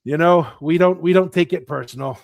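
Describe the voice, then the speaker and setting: A man from Tonga co-hosts a casual talk show, his voice sitting a little high. He talks in an animated way, laughs easily and repeats phrases for emphasis. The sound is dry and close. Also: fairly quick, dry recording. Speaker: podcast co-host. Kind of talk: casual chat.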